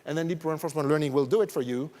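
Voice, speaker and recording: A man's voice: on the high side, very fast, warm tone. A man from France with a French accent, giving a technical conference talk with frequent fillers and restarts. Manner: explanatory, many fillers and restarts. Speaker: conference speaker. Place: large conference hall. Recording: long reverb of a large hall.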